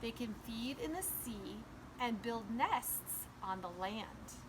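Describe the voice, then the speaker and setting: High-voiced woman preschool teacher reading aloud. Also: expressive, slow pace, noisy background. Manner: simple, instructive explaining to young children. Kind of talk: children's read-aloud and simple lesson.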